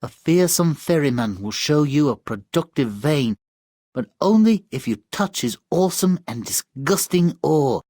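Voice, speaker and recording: A man's voice: on the high side, very animated, a little slow, a little mellow, no echo, clean studio sound. A man playing a brash, scheming crone in a video game, mocking and brusque. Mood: sly, scheming. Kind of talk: theatrical video game cartoon acting.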